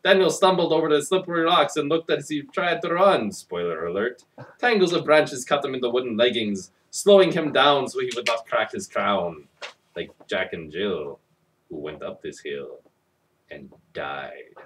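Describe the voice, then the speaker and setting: Guy doing a mock dramatic story reading, super animated, sarcastic, sounds a bit away from the mic. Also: mid-range voice, normal speed, far from the mic, rough recording. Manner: theatrical, hammy, sarcastic. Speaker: narrator. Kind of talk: comic dramatic reading of a children's story with mocking asides.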